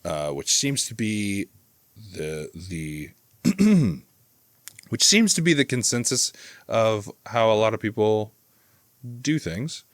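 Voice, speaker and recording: A man's voice: lowish voice, big pitch swings, takes it slowly, pauses often, dry recording. A man giving casual, rambling and enthusiastic reaction commentary as a video host, swearing freely. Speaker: video host reviewing horror channels.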